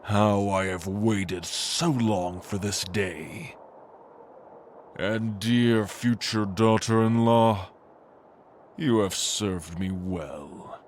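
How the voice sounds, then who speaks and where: A man's voice: lowish voice, slight background noise. A man playing an ancient, scheming angel lord in a fantasy audio drama, speaking in a pompous, sanctimonious and menacing way. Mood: cold contempt. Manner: pompous, slow, menacing declamation.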